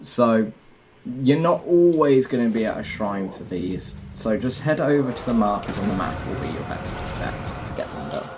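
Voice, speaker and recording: A man's voice: on the low side, very animated, moderate pace, noisy background. A man hosting a gaming video, giving a casual walkthrough and explaining each step directly to viewers. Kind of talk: casual game walkthrough commentary.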